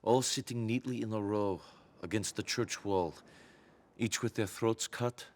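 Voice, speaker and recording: Grave war veteran for a game male actor, low, quiet, haunted. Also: unhurried, lightly acted. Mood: haunted, somber. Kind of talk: video game cutscene acting.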